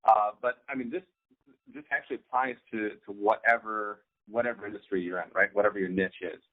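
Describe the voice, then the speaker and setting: A man co-hosting an informal instructional talk as a business coach, speaking haltingly with repeated words and frequent "right?" check-ins. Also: fairly low pitch, steady tone. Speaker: co-host, online business coach. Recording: thin, phone-like band-limited sound.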